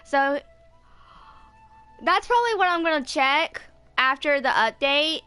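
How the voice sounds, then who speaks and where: Bright, higher-pitched young woman. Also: animated, unhurried, faint music behind. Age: 20s. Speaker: gaming video host. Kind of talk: casual game playthrough commentary, reading text aloud.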